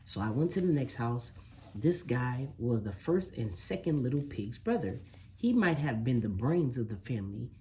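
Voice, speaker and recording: Storybook wolf, played by a reader: self-excusing, mock-innocent narrator with a mellow, soft-edged voice and very animated delivery. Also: medium pitch, moderate pace, a little hiss or hum. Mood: self-justifying. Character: sly, self-excusing wolf telling his own story. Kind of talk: children's picture book read aloud.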